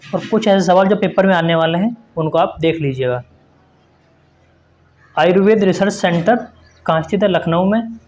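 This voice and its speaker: A man's voice: high-pitched, moderate pace, long pauses, darkish tone. A man teaching an exam-prep quiz lesson, reading out questions and explaining answers in an instructive way with repeated emphasis.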